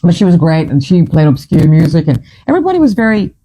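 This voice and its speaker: Woman, from Fiji, fifties, chatty host, dramatic, warm dark tone. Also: deep voice, very animated, quick pace, breathy edge, low-quality recording. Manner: chatty, dramatic, self-mocking with frequent laughs. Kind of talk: casual storytelling chat.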